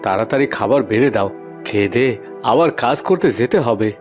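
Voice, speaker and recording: A man's voice: medium pitch, lively intonation, brisk, darkish tone, soft background music, close to an everyday voice. Man playing a homely, hardworking farmer husband. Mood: tired, hungry. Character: tired, hardworking young village farmer husband. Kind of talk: cartoon voice acting.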